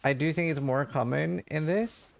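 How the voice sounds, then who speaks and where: A man's voice: fairly high pitch, normal speed, vocal fry. A man from Norway, casually chatting and thinking aloud while he streams a game and answers chat questions.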